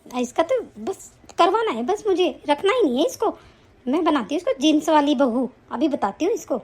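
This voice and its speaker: A woman acting a high-pitched, spiteful scolding mother-in-law. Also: lively intonation, moderate pace, darkish tone. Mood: angry, threatening. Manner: scolding, threatening, complaining. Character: spiteful, scheming, hot-tempered mother-in-law. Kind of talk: domestic family melodrama acting.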